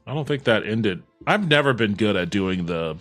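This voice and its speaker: A man's voice: lowish voice, lively intonation, normal speed, a bit bright, faint music behind. A man streaming a game, talking casually and swearing freely as he plays, like a livestream host. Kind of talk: casual livestream chat while gaming.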